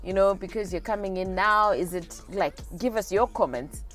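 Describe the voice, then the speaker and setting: A woman's voice: mid-range voice, moderate pace, a bit bright, faint music behind. A woman hosting an interview, asking questions in an upbeat, encouraging way. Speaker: interviewer, host.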